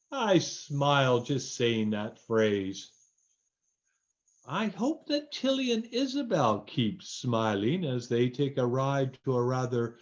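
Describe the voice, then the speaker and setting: A man playing a folksy, hammy old-time radio announcer, on a dull lo-fi recording. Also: mid-range voice. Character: genial folksy male radio announcer plugging toffees. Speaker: announcer and narrator.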